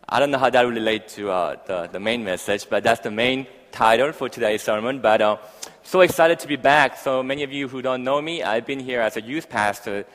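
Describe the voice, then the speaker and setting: A man's voice: medium pitch, a little fast, a bit bright. Foreign-accented male preacher in an echoing hall. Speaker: visiting pastor. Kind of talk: informal sermon to a congregation. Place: large church hall, speaking to a congregation. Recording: echoey hall with long reverb.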